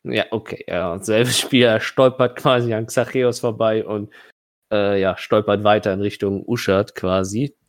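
Man, low pitch, tabletop game master, dry acoustics, thinking aloud, improvised narration. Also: fairly even intonation, measured pace, no echo. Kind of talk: running a tabletop role-playing game, improvised narration and rules talk.

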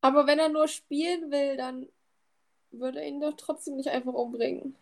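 A woman with a high voice takes part in a tabletop role-playing session as a player. She speaks casually, as in chat around a game table. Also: fairly even intonation, moderate pace.

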